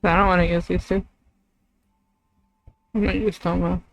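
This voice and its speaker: A woman's voice: fairly low pitch, little pitch movement, moderate pace. A woman chatting casually as she streams a video game, easily distracted and loose with her language. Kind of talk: casual live gameplay chat. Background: background noise with a loud pet dog.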